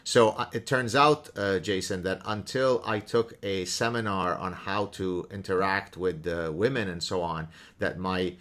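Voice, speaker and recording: A man in his fifties, born in Lebanon with Arabic as his first language, hosting a conversation with quick, emphatic bursts. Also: low voice, steady tone, fairly bright tone. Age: 50s. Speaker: podcast host and professor. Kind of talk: casual conversation.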